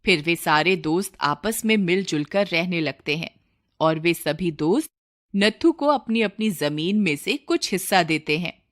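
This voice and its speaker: A woman reading a scripted moral story as a storyteller. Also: lowish voice.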